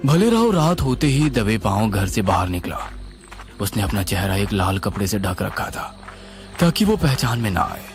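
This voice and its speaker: A man narrating a scripted horror story in a suspenseful, dramatic, expressive way. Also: lowish voice, moderate pace. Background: music.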